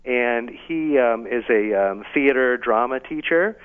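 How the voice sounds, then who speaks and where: A band-limited, phone-like connection carries a man's mid-pitched voice. He is a guest in a casual radio interview, explaining as an organiser would, with a halting flow. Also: smooth.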